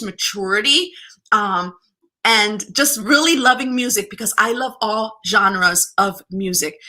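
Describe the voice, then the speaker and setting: A bubbly middle-aged woman hosting a casual live chat, her voice bright and ringing. She sits a little higher than most women and keeps greeting viewers by name as she talks. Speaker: host.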